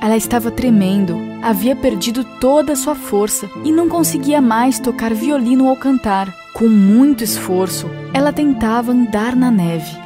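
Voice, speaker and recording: A Brazilian woman tells a children's story. Her voice is on the high side, expressive and clearly paced. Music runs behind the narration. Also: normal speed, few pauses.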